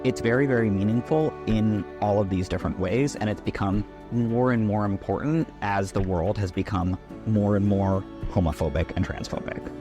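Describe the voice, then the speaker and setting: A man speaking in a deliberate, earnest way in an interview testimonial. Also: fairly low pitch, music in the background. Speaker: employee.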